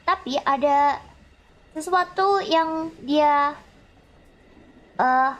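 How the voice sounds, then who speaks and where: A woman's voice: high voice, very slow, fairly bright tone. A woman with an Indonesian accent on a casual livestream, chatting and reading game text aloud, sometimes stumbling over words and repeating herself. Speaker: livestreamer playing and reading a visual novel.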